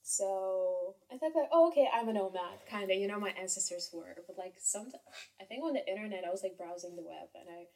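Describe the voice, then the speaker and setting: A woman's voice: medium pitch, a little fast, darkish tone, distant mic, poor audio. A woman chats casually and self-deprecatingly as an interview guest. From Kazakhstan. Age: early 20s. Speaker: interview guest, English tutor.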